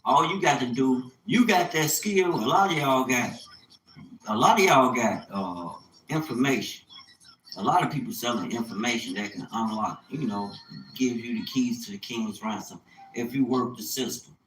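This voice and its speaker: A man in his fifties speaks off the cuff on a livestream in a medium-pitched voice. His talk is colloquial and rambling, circling back to repeat phrases. The room echoes noticeably, with a little noise behind him. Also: expressive, normal speed, a bit bright. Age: 50s. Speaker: livestreamer, small business owner. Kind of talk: casual livestream monologue.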